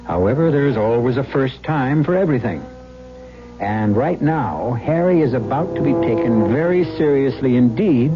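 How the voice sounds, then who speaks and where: A man narrates as host of an old radio drama, deliberate and theatrical with a wry touch. His voice is mid-pitched, set over clear music on a muffled recording. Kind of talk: scripted storytelling narration, vintage radio.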